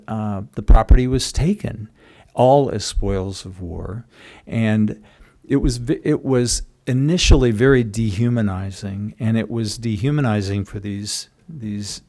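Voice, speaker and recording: A man's voice: mid-range voice, dark tone. A man speaking as an author at a public book talk, explaining and questioning, often rephrasing as he goes.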